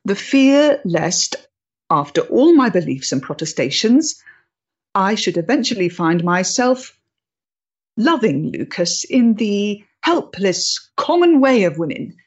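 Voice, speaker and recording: A woman's voice: mid-range voice, very animated, slow, husky, highs cut off, full character voice. An actress playing a proud, intense, troubled freethinking woman of the 1890s in a period stage drama, speaking emphatically and articulately. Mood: fearful, confessing.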